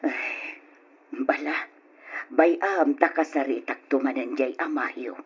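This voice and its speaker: An actress playing a gentle, anxious middle-aged mother in an old radio drama, with a pleading, soothing manner. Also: a bass voice, takes it slowly, husky, muffled recording, full character voice. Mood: soothing, worried.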